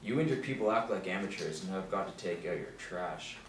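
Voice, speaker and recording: A man playing an angry, low-voiced husband. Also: expressive, a little fast. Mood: angry, nervous. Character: angry, nervous middle-aged husband with a dark past. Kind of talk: script table read. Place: small room indoors. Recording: roomy, distant mic, faint noise.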